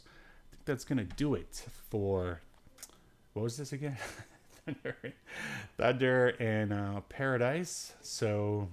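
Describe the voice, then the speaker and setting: A man hosting a casual livestream podcast, chatting in a rambling, dryly joking way. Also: mid-range voice. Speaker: podcast host. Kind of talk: casual solo livestream chat about obscure media.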